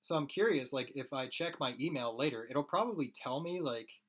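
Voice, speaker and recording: Hoarse young man chatting on a live stream. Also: medium pitch, moderate pace. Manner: rambling, chatty, talks to chat viewers. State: hoarse, losing his voice. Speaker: streaming artist. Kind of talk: casual live-stream chat while drawing.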